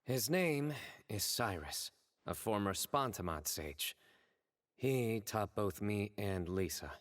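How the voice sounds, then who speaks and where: An actor playing a composed young investigator in a video game, deadpan and formal, dryly proud of his puns. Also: on the low side, dry recording, clean studio sound. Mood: calm, measured. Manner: deadpan, measured, formal phrasing.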